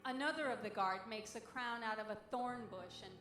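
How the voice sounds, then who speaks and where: A woman's voice: a little high, brisk, a bit bright. A woman reading a dramatic scriptural narrative aloud as a church reader. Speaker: reader at a church Good Friday service. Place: large church sanctuary. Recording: echoey church, faint music behind.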